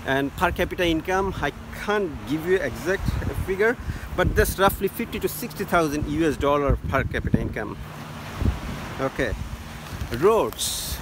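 A man giving halting travel tips from a beach, with many fillers and restarts. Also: on the high side. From Bangladesh. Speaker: travel vlogger. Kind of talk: casual travel tips to camera. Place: outdoors on a sunny beach by the sea. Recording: loud wind noise on phone mic.

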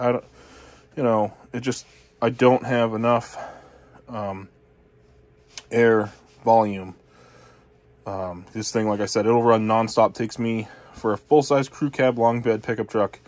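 A man casually reviewing his air compressor in a cluttered garage, filming on a handheld phone and rambling with lots of fillers and blunt, profane asides. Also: mid-range voice. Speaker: channel host reviewing tools. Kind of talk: casual off-the-cuff product review. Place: cluttered garage workshop, indoors. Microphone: handheld phone camera, first-person view.

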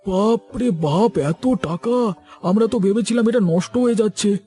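A man's voice: high-pitched, a little slow, dark tone, faint music behind. A man playing a humble, deferential poor farmer in a cartoon. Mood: amazed. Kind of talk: cartoon voice acting.

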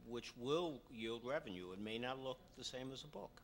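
An older man speaking as a panelist in a hotel conference room, making dry, relaxed asides into a table mic. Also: mid-range voice, moderate pace, slight background noise. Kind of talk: panel discussion. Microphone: table mic on a stand.

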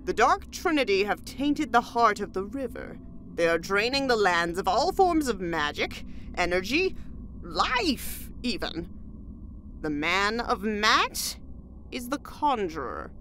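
A woman's voice: mid-range voice, fairly bright tone, slight background noise. A woman playing an eccentric, cryptic hermit seer in a fantasy audio drama, theatrical and riddling.

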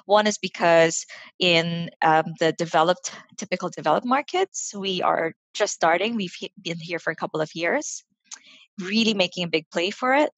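A woman's voice: lowish voice, normal speed, dry recording. A woman in her thirties from the Philippines, with an international school accent, chatting as a guest on a video call over a headset. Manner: chatty, laughs often, restarts words. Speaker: guest, head of marketing enablement. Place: home room.

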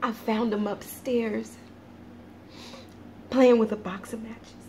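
A woman performing a dramatic monologue as a grieving, unhinged mother tormented by guilt, halting and anguished. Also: on the high side, flat delivery, warm tone, slight background noise.

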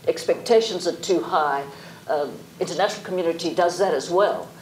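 A woman's voice: on the low side, a little fast, a little mellow, echoey room, a little hiss or hum, low-quality recording. A middle-aged woman academic answering panel questions, hesitant, with frequent ums and self-corrections. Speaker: academic witness. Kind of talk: panel discussion. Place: lecture theatre.

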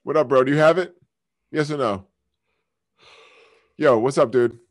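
A man hosting a live stream, heckling strangers in random video chats with loud, crude, swearing banter. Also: medium pitch, a little slow. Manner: loud, taunting, swearing often. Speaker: streamer host.

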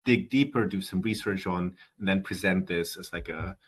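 A chatty young man with a German accent talks over a headset on a video call, his voice a little low and slightly husky. He speaks in casual interview answers and keeps restarting his sentences with fillers. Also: normal speed. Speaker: guest.

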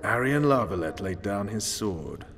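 An actor playing a stern, world-weary special forces commander in a video game, clipped, commanding and menacing. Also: male voice, on the low side, animated, a little slow, a bit bright, big-room sound, slight background noise. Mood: probing. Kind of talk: dramatic video game acting.

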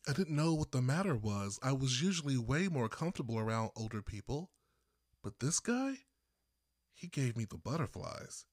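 A man from Texas reading his own first-person story as an audiobook narrator, with deliberate phrasing and pauses. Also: medium pitch, sing-song, dry recording, studio quality. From Texas, United States.

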